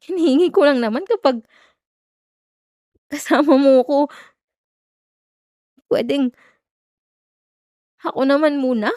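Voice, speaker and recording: A woman's voice: high voice, a little slow, long pauses, warm tone, no room sound, clean studio sound. A woman with a Filipino accent playing a jealous, hurt young girlfriend in an emotional, sarcastic audio roleplay. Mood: angry, exasperated. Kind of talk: Tagalog ASMR girlfriend roleplay, acted monologue.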